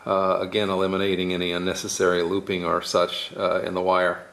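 A man's voice: low voice, monotone, brisk, fairly bright tone. A man giving a casual, hands-on tutorial, explaining things informally step by step. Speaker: DIY tutorial presenter.

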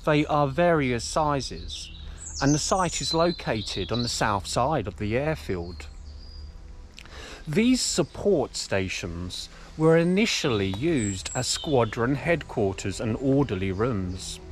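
A man in his forties presenting a history documentary to camera in a measured, deliberate way, pausing between short phrases. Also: mid-range voice, sing-song, a little hiss or hum. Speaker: host of a history exploration vlog. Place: outdoors among derelict buildings in woodland. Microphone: camera held at arm's length.

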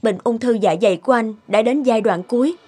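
A sympathetic woman doctor in a cartoon drama acted by a woman. She speaks earnestly and with composure, over clearly audible music. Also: a little high, fairly quick, natural voice. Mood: serious.

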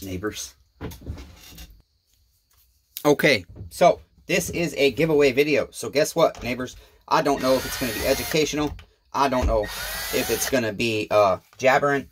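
A man in his forties with a low, husky voice hosts his repair channel from a cluttered home workshop. He talks to viewers like neighbors, folksy and rambling with plenty of fillers. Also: a bit bright. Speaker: small engine repair channel host. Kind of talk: casual rambling vlog to viewers.

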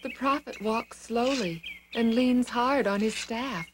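A woman voicing a hospitable, humble village wife in a Bible story, gentle and earnest. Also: fairly high pitch, slow, noisy background, voice pushed up. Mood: caring.

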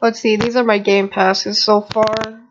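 A gamer talks casually over their own gameplay in a plain, mid-pitched voice. The commentary rambles, with muttered asides to the viewers. It sounds dull and lo-fi, like a home computer microphone. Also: little pitch movement, unhurried, slight background noise.

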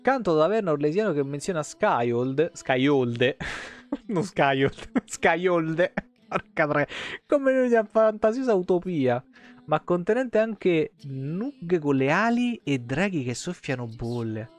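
A man's voice: a little high, very animated, unhurried. A man, doing irreverent, sweary live game commentary and reading lore aloud with casual asides. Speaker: game streamer. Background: game music.

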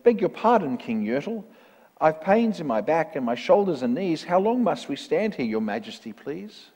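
A man voicing a lowly, long-suffering little turtle in a children's storybook, politely groaning and pleading in a reverberant hall. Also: sing-song, normal speed, darkish tone, husky, heavily acted. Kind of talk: read-aloud children's storybook in verse.

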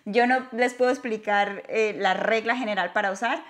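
A woman's voice: mid-range voice, fairly even intonation, moderate pace. A young woman chatting casually on a sofa, explaining a pronunciation app and her English mistakes, laughing easily. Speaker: vlogger. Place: living room sofa.

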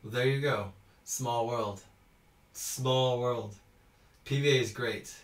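A man's voice: medium pitch, fairly even intonation, slow. Chatty man with a roomy echo. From Houston, Texas. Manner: chatty, reads out viewer comments, laughs often. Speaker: cello teacher. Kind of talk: casual live-stream Q&A. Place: small indoor room at home.

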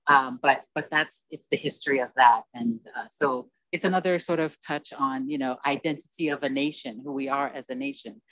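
A woman's voice: extremely low pitch, fairly even intonation, a little fast, few pauses, a bit bright, dry recording, poor audio. A woman on a conference panel, talking in an earnest, meandering way into a handheld mic on stage. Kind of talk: panel discussion.

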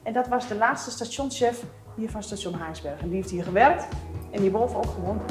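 A woman's voice: mid-range voice, fairly quick, darkish tone, faint music behind. A woman working as a museum guide, explaining things in a friendly way with plenty of hesitations.

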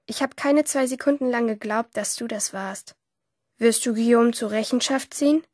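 A woman's voice: fairly high pitch, normal speed, darkish tone, dry recording, clean studio sound, natural voice. A woman playing a lonely, curious teenage girl who narrates a radio drama as an intimate inner monologue. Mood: tipsy, confiding. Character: lonely, curious teenage girl watching life through screens.

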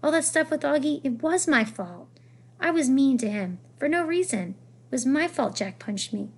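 A woman reading as an earnest, remorseful schoolboy, high voice. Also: animated, normal speed. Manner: plain, earnest, insistent.

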